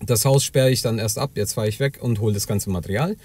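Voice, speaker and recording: A man's voice: mid-range voice, fast, darkish tone. A man who works as a heating installer, telling casually and chattily how he handles a job. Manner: chatty, loose storytelling with filler "ja?" and "ähm". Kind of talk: casual vlog narration.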